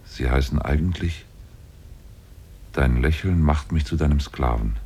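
An actor playing a powerful, lovestruck and possessive demon in a 1950s German radio play, speaking solemnly. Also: male voice, a little slow, mellow, exaggerated voice. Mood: tender, confiding. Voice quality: very deep, husky.

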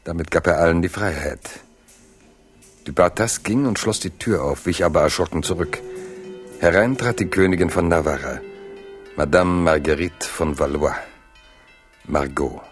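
A man narrating a literary radio drama in a measured, grave storytelling manner. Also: sits low, faint music behind.

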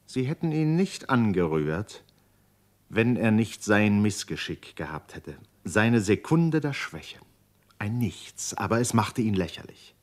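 A man's voice: fairly low pitch, big pitch swings, measured pace. A man narrating a German radio play as a grave literary storyteller. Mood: grave, suspenseful. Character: grave male storyteller of a dramatic novel.

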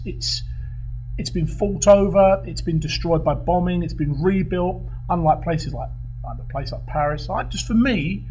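A man with a Cockney accent, co-hosting a podcast in casual chat, pausing and restarting often. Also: a little high, darkish tone. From England. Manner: hesitant, restarts with ums. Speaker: podcast co-host. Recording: slightly dull, faint noise.

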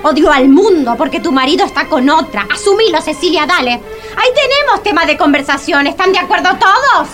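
A woman's voice: high-pitched, animated, brisk, a bit bright, faint music behind. An actress playing a bossy, blunt single woman with an Argentine accent, melodramatic in a comic radio drama. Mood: scolding. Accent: Argentine Rioplatense. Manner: scolding, melodramatic. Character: bossy, blunt, exasperated single female friend.

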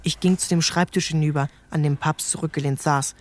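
A man's voice: a little high, steady tone, brisk, slight background noise. A man narrating a literary story in the first person, as a scripted reading for a radio drama.

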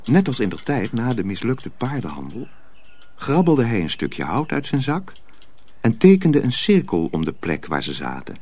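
A man narrating a dark folk tale in a radio drama, in an expressive storytelling voice. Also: medium pitch, normal speed, a little hiss or hum. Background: music, clearly heard.

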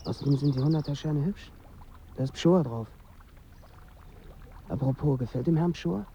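Pleading male junkie in withdrawal, played by an actor, pushy yet polite, mellow tone, noisy ambience, radio play. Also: on the high side, moderate pace, muffled recording, lightly acted. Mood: agitated. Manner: pushy but polite begging. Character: pleading young male drug addict in withdrawal. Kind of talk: radio drama dialogue.

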